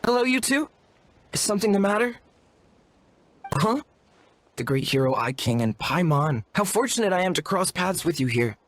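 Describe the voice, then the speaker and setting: A man's voice: high-pitched, sing-song, normal speed, long pauses, fairly bright tone, full character voice. An actor playing an earnest, courteous and wordy young shaman apprentice in a video game, speaking in a formal, polite manner. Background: music.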